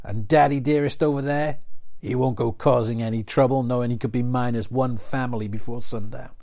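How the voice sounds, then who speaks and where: A man's voice: medium pitch, steady tone, a little fast, darkish tone, natural voice. A man doing a snarling Wild outlaw. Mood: menacing taunt. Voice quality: snarling, taunting, mocking. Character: sneering outlaw gang leader, male, cruel and mocking.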